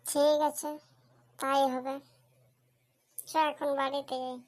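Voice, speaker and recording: A woman's voice: high-pitched, little pitch movement, slow, darkish tone. A woman voicing a naive, curious young son in a comic cartoon, with a childlike questioning manner. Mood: wary, chatty. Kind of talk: amateur comic cartoon dubbing.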